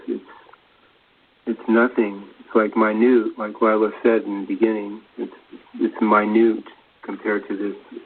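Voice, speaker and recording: A man chatting casually about spiritual ideas, hesitant, searching for words and repeating himself. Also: lowish voice. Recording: narrow phone-like sound.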